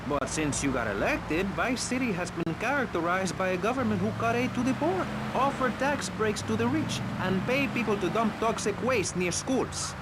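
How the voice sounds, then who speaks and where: A man's voice: sits high, expressive, moderate pace, few pauses. An actor playing a prissy, exasperated male debate moderator with a theatrical, sarcastic manner in a radio satire. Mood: accusing. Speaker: host of a debate show. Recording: loud car and street noise over it. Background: vehicle engine and city traffic.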